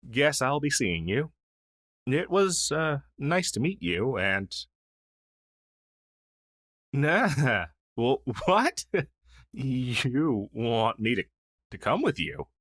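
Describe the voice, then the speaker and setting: A man's voice: mid-range voice, big pitch swings, very slow, pauses often, fairly bright tone, dry recording, clean studio sound, full character voice. A man playing a friendly, shy crow familiar in an intimate fantasy audio roleplay, speaking in a hesitant, earnest, conversational way. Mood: shy, wistful, then surprised, unsure. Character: friendly, shy crow familiar, a masterless male magical helper. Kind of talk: intimate ASMR roleplay acting.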